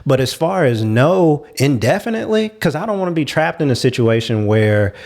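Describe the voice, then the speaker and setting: A man speaks as a panelist on a talk show. He is agreeable and candid, laying out his points at length in a mid-pitched voice. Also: sing-song, normal speed, creaky, darkish tone.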